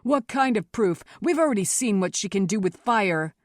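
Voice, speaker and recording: A woman's voice: mid-range voice, animated, normal speed, no room sound, clean studio sound, lightly acted. A woman voicing a big, enraged mob leader in an audiobook, snarling and accusing. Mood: hostile, demanding. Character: tall burly man, red-faced, enraged mob leader. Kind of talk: audiobook character voice.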